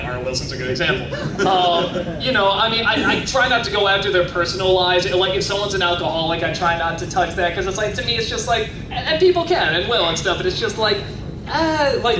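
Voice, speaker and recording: A man in his thirties with a high-pitched voice, chatting casually as he answers audience questions at a convention panel, full of fillers and restarts. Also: distant mic. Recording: echoey convention hall from afar, noisy.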